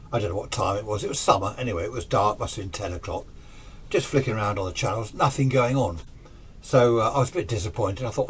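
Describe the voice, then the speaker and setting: Low-voiced man telling a story. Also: slight background noise. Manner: rambling, conversational, acting out both sides of remembered exchanges. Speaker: storyteller recounting personal radio anecdotes. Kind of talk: casual unscripted spoken storytelling.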